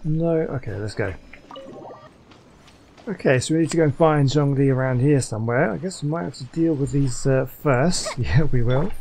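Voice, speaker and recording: A man's voice: medium pitch, moderate pace, a little mellow. Man casually narrating gameplay, faint noise. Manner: muttering, thinking aloud. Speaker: gaming YouTuber.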